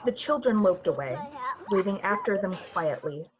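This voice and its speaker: A woman narrating a radio play in a measured, atmospheric, dramatic storytelling style. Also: mid-range voice, expressive, highs cut off, crowd noise, natural voice. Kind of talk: literary radio-play narration in 1940s manner. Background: music.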